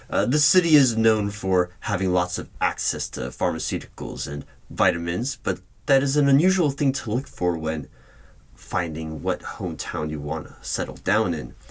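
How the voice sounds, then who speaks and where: A man speaking in a formal, deliberate official tone, performing a spoken ASMR roleplay as a stern hiring manager, with keyboard typing behind him. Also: sits low. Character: stern male hiring manager of secret organization. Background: computer keyboard typing.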